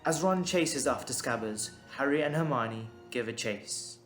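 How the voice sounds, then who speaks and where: A man's voice: mid-range voice, expressive, measured pace. A man reading scripted dramatic narration as the narrator of an audio drama. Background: music.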